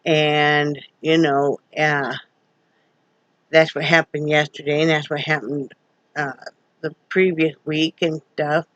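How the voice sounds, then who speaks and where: A woman's voice: sits low, level tone, slow pace, fairly bright tone. A wheezy middle-aged woman with a sore throat, talking folksy and rambling at home. The sound is muffled and lo-fi, and the room is dry, with no echo. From Wyoming, USA. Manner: folksy, rambling, many fillers. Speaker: vlogger. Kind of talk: casual home vlog chat. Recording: dull lo-fi home recording.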